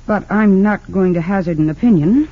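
An actress playing a composed, principled woman defense attorney in an old radio drama, formal and measured as she questions. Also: mid-range voice, husky, lightly acted. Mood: probing, businesslike. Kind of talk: 1940s-50s radio drama acting. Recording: dull lo-fi old radio recording.

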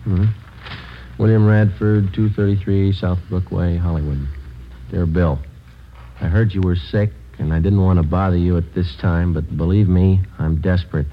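A man's voice: low-pitched, fairly even intonation, normal speed, slight background noise, lightly acted. An actor playing a terse police sergeant with an American accent, narrating his case in a clipped, matter-of-fact way in the style of 1950s radio drama. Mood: businesslike. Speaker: detective and narrator. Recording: dull vintage radio recording with music.

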